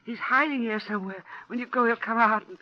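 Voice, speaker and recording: A woman's voice: a little high, fast talker, close to an everyday voice. A woman playing a frightened older employer in a 1940s radio drama, with a steely voice. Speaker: radio actress. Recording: muffled vintage radio broadcast.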